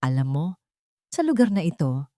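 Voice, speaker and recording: Woman acting a sharp-tongued, nosy village captain. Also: deep voice, big pitch swings, normal speed, no room sound, clean studio sound. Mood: prying, judgmental. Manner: prying, insinuating.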